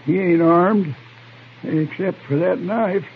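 A tired old frontiersman in his seventies, talks slowly in a raspy, high voice. He is meek and plain-spoken, heard through a narrow, vintage radio western recording. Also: exaggerated voice. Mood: weary, feeble. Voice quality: husky, creaky, weary.

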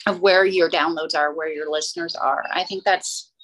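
A woman's voice: sits low, fairly even intonation, fairly bright tone, poor audio. A woman co-hosting a podcast in casual chat, talkative and rambling, laughing easily. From southern United States. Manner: chatty, rambling, quick laughs. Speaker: podcast co-host.

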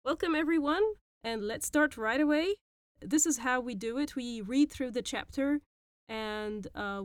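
A woman hosting a podcast and teaching phrases in a patient, explanatory way, repeating words slowly. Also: a little high, smooth, no room sound. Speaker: podcast host and Arabic teacher. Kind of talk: language lesson explaining phrases.

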